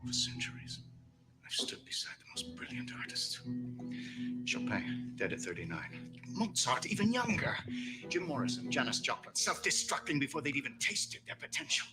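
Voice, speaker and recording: A smooth, grandiose immortal schemer pours flattery on his chosen protégée. His slightly high voice swoops up and down dramatically, with music under the scene. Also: normal speed, low-quality recording. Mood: seductive. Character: suave older male immortal mentor, manipulative. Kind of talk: TV drama acting.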